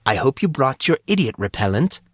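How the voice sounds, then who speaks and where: A man's voice: mid-range voice, big pitch swings, fairly quick, no room sound, studio quality. A man voicing a sardonic male character in a narrated fantasy story. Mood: sardonic welcome.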